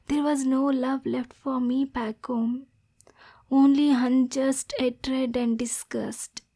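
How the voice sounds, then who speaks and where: A woman playing a lonely, lovesick demon girl in an intimate ASMR roleplay, softly spoken and breathy, nervous yet possessive. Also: sits high, exaggerated voice. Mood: wounded, sad.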